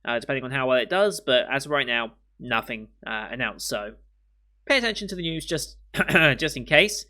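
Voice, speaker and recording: A man hosting an anime reaction channel, thinking aloud in casual commentary at home with his voice worn hoarse. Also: mid-range voice, expressive, normal speed, pushed and bright. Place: home room with windows. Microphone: studio mic in frame.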